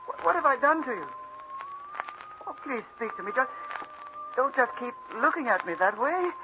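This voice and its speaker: An actor playing a kindly old doctor who talks hesitantly and repeats himself, in old-time radio drama style. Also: male voice, very high voice, sing-song, moderate pace, heavily acted. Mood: terrified, pleading. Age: older. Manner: muttering to himself, hesitant, repetitive. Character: kindly old male doctor, absent-minded, turning terrified. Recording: noisy, muffled old radio recording.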